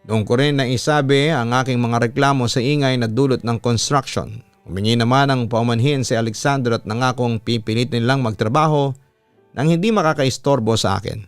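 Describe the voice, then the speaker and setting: A man's voice: medium pitch, a little fast, clear, resonant tone, no echo. A man with a Filipino accent reading a listener's letter as scripted narration, like a radio announcer telling a story. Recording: music playing behind the voice.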